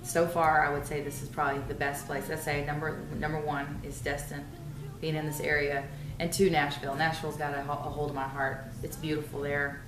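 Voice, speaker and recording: Low-voiced woman, roomy studio. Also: level tone, a little fast, hardly any pauses, background noise. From Dallas, Texas. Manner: chatty, restarts and hedges with "you know". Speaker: songwriter guest. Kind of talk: casual interview chat. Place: recording studio.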